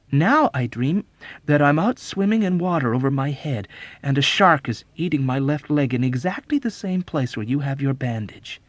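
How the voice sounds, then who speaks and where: A man's voice: mid-range voice, dry recording, voice pushed up, natural voice. A man voicing a meek army chaplain in an audiobook, hesitant and anxiously confiding. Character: meek army chaplain confessing his anxieties.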